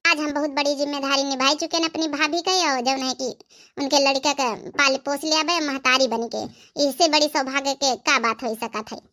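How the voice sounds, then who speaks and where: A woman's voice: high voice, fairly even intonation, moderate pace, pushed and bright. A woman voicing a devoted, tender young sister in a homemade cartoon family drama, with an Awadhi accent. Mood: happy, proud. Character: devoted young sister raising her nephew, tender and self-sacrificing. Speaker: storyteller of a cartoon channel.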